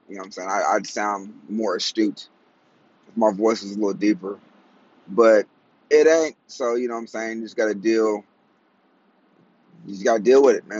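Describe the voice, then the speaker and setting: A man in his thirties hosting a casual solo podcast, with a high, raspy, cracky voice, talking in slang, rambling and laughing at his own jokes. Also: fairly even intonation, normal speed, pauses often, highs cut off, a little hiss or hum. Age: 30s. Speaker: podcast host.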